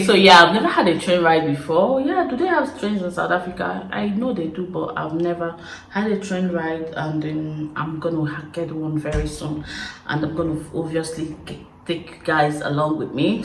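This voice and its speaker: Young Nigerian woman, Igbo accent, chatty confessional vlog, echoey room, faint background hum. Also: on the low side. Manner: chatty, expressive, laughing storyteller. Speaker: YouTuber. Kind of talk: casual confessional chat to camera.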